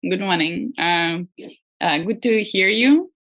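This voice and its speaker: A woman with a Russian accent, speaking as an artist guest in a casual interview, hesitant with frequent pauses and fillers. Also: medium pitch, dull audio. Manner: hesitant, many ums and uhs, laughs lightly.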